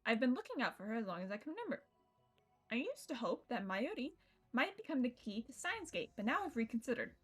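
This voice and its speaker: A woman's voice: on the high side, big pitch swings, fast, breathy edge, faint music behind. A woman reading aloud the lines of a grandiose young man who calls himself a mad scientist, in a voice close to her own. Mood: wistful.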